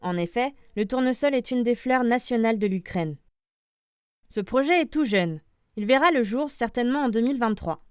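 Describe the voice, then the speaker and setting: A woman's voice: fairly high pitch, lively intonation, normal speed, clear, resonant tone. A woman reading scripted podcast news as presenter, addressing listeners like an announcer. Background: light music.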